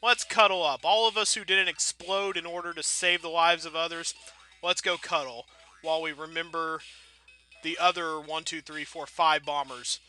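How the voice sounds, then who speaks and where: Bright, high-voiced man doing game commentary. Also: steady tone, normal speed, faint music behind, rough recording. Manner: rambling, playful, folksy mild exclamations. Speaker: gameplay video host.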